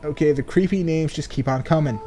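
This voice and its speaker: A man's voice: fairly high pitch, a little fast, warm tone, soft background music. A man doing casual game commentary and reading game text aloud, sardonic and free with swearing. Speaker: let's player.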